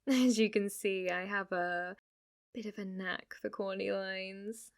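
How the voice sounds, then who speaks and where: A woman's voice: mid-range voice, steady tone, slow pace. A woman playing a playful, possessive, lovestruck witch in an ASMR roleplay, speaking to the listener in a teasing, cutesy way. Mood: coy, playful. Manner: teasing, cutesy, swinging between coy murmurs and eager outbursts.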